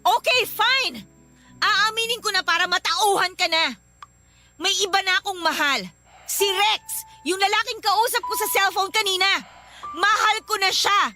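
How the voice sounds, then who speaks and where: A woman's voice: extremely high pitch, unhurried, sharp tone, exaggerated voice. A woman playing an irritated, defiant young girlfriend in a radio drama, with a snappy, exasperated manner. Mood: cold, exasperated. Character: irritated, defiant young woman fed up with her clingy boyfriend. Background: music.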